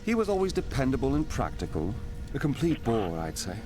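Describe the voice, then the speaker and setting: A man's voice: medium pitch, wildly swooping pitch, a little fast, mellow, soft background music. A man voicing a flippant, roguish thief in a fantasy video game, glib, casual and teasing. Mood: wry, flippant. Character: flippant, roguish male thief, breezily self-amused.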